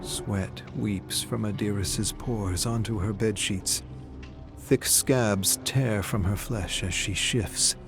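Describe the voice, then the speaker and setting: A man delivering grave, deliberate, theatrical narration of a dark fantasy story. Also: lowish voice, vocal fry. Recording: music behind the voice. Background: dramatic music.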